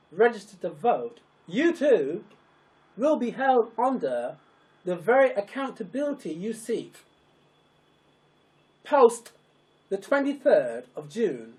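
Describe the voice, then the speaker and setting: A narrator reads a formal public address very slowly. The pitch moves widely from phrase to phrase. The delivery is solemn and emphatic, with sentences broken into short, weighty pieces. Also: a little mellow, poor audio. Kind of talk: scripted, declamatory public address.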